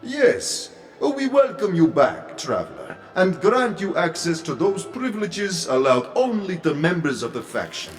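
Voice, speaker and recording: A man's voice: sits high, sing-song, a little slow, hardly any pauses. Guy doing a stately fantasy doorman, solemn n formal, voice rises and falls a lot, music behind. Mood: formal, welcoming. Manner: formal, ceremonious, speaks as 'we'. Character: formal, solemn male doorman of a sensation-seekers' society. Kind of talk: fantasy audio drama acting.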